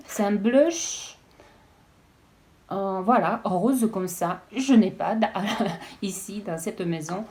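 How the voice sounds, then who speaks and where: A woman's voice: medium pitch, a little mellow. Hesitant woman unboxing beauty products. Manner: hesitant, halting, full of restarts. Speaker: beauty vlogger. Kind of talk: casual unboxing chat.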